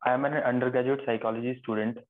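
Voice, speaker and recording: A young man, an undergraduate earnestly introducing himself in an online class, halting now and then. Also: medium pitch, fairly even intonation. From India. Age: young adult. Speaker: undergraduate student. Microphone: video call. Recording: tinny phone-like video call audio.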